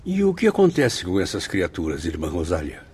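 An actor playing a courteous, inquiring spirit visitor who speaks formally in a radio drama. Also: male voice, low voice, huge pitch swings, brisk, darkish tone, husky, heavily acted. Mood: respectful, curious.

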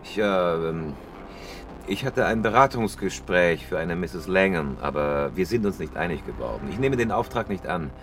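A cagey cool crook in a radio thriller, low voice, really varied melody, terse. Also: male voice, expressive, normal speed, fairly bright tone, soft background music. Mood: guarded, casual. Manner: terse, controlled, evasive. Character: guarded, cool-headed male criminal posing as ordinary citizen. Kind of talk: radio crime drama acting.

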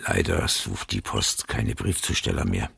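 An actor in a radio play as a chatty, unruffled, slightly odd postman, husky and gravelly, with a dry, matter-of-fact manner. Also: a bass voice, animated, a little slow, pushed and bright, full character voice. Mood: sober. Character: chatty, odd, unruffled male letter carrier. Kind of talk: radio drama acting.

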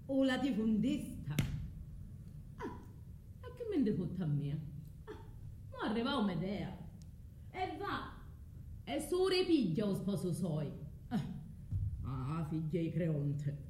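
Bitter scorned calabrian woman in a radio play, southern italian accent, big pitch swings, roomy echo. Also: big pitch swings, mellow. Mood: scornful, scheming. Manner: earthy, halting, dramatic storytelling. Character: bitter, vengeful Calabrian migrant woman, scorned lover, earthy. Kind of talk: theatrical radio monologue with dialect. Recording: roomy echo, faint background noise.

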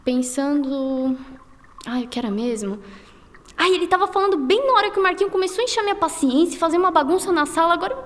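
A woman's voice: very high voice, expressive, quick pace. A woman acting in a radio drama as a curious, chatty teenage schoolgirl with a Brazilian accent, speaking in a youthful, colloquial way. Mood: pleading. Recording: long reverb, loud music behind.

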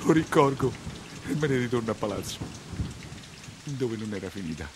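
Casting: man to play Roman coachman, 19th century, humble and emotional, very lively intonation, earthy dialect, theatrical solo storytelling. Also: mid-range voice, moderate pace, noisy background, close to an everyday voice. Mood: rueful.

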